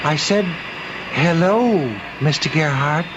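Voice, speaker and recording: An actor playing a courteous, gently insistent old man's ghost, a lonely shopkeeper, in an old-time radio drama. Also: a little high, lively intonation, slow pace. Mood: calm, uncanny. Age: older. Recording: noisy, muffled old radio drama recording.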